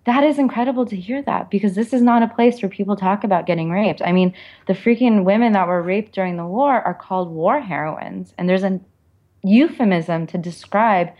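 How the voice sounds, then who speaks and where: A woman's voice: on the low side, dull audio. A woman author being interviewed, speaking candidly and informally in a reflective way, with lots of "like" and "you know".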